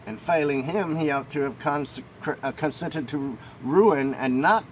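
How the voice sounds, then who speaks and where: A man reading a historical book aloud as an amateur narrator, with emphatic phrasing and the odd stumble. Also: measured pace. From United States. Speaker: amateur audiobook reader. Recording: noisy home recording with ambient rumble.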